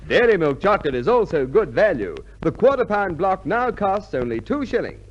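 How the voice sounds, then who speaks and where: High, lively vintage radio ad man. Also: moderate pace. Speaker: commercial announcer. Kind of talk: scripted 1950s radio advertisement. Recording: dull vintage radio recording, faint noise.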